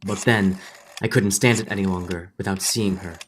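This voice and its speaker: A man acts out a distinguished judge on the right side of fifty in a vintage radio play. His voice is very low, and he speaks with earnest, dramatic feeling. He sounds like a lovestruck, guilty husband who falters when flustered. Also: steady tone, normal speed, slight background noise, lightly acted. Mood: brooding, confessional. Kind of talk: 1940s radio drama acting with first-person narration.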